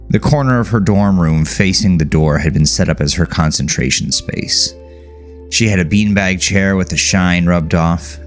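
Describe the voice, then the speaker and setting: Very deep, gravelly man narrating fiction. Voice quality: gravelly, creaky. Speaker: audiobook narrator. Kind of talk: scripted dramatic horror fiction narration.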